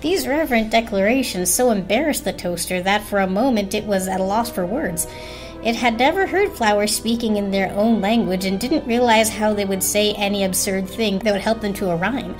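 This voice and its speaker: A woman's voice: medium pitch, normal speed, soft background music. A woman reading a storybook aloud as a dramatic narrator.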